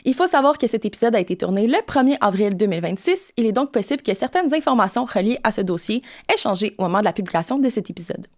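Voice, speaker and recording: A woman's voice: medium pitch, lively intonation, quick pace, few pauses. A woman hosting a podcast, introducing the episode and interviewing a guest in a casual, friendly way. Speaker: podcast host.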